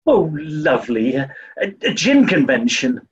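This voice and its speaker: Man acting cheerful dim-witted British gentleman, very animated intonation, genial, oblivious, 1940s radio comedy, dull muffled sound. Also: a little high, a little slow. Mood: jaunty.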